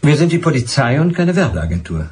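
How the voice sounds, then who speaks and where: An insistent, animated police inspector. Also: male voice, medium pitch, a little fast, husky. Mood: dryly exasperated. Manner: formal, insistent, dry questioning. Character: persistent, exasperated male police inspector questioning a suspect. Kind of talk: radio drama acting.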